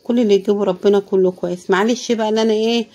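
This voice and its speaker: A woman with an Egyptian Arabic accent and a hoarse, tired voice, chatting casually as she cooks. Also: mid-range voice, steady tone, racing, dark tone, highs cut off. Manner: tired, pious, often praising God. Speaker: cooking vlogger. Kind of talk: casual cooking commentary.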